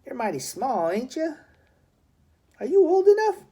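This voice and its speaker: A man's voice: very high voice, wildly swooping pitch, unhurried, dark tone, pitched above the performer's own voice, full character voice. A man voicing a stern, gray-bearded army sergeant in a storybook, brusque and questioning, with folksy grammar. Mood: gruff, challenging.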